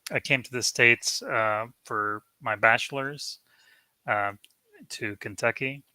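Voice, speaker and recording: A man from Afghanistan with a very bright, forward voice, recorded dry. He speaks casually as an interview guest, pausing often with ums as he goes. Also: medium pitch, smooth, no room sound. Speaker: guest, prosthetics assistant and lab lead. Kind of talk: casual interview self-introduction.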